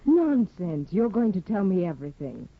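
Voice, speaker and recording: Cool, mocking young wife, lively pitch, husky, tinny old radio sound. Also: female voice, moderate pace, heavily acted. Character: Hedda, cold, bored, manipulative young wife. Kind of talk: 1950s radio drama acting. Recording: tinny, phone-like 1950 radio broadcast.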